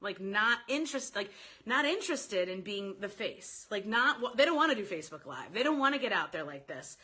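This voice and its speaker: A woman giving informal sales coaching on a live stream, talking directly to her viewers in an animated, chatty way. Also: mid-range voice, a little fast, breathy edge. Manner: animated, informal, addresses listeners directly, quotes sample phrases. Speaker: sales coach hosting a live online training.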